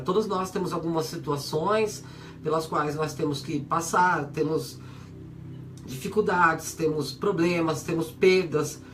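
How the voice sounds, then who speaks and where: A hoarse man with a Brazilian Portuguese accent and a stuffy nose from a cold, hosting a spiritual advice show in a chatty, earnest way with many fillers, with music behind him. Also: high-pitched, a bit bright. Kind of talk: casual spoken talk to viewers and listeners. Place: home studio or room for a web radio and video show.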